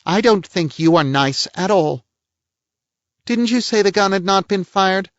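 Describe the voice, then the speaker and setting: A man doing a haughty middle-aged lady with a high, shrill, affected voice, all huffy gentility and wounded pride. The sound is dry and a bit dull, like an old lo-fi reading. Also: lively intonation, a little slow, no echo. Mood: indignant. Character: haughty prim middle-aged woman, indignant and theatrical.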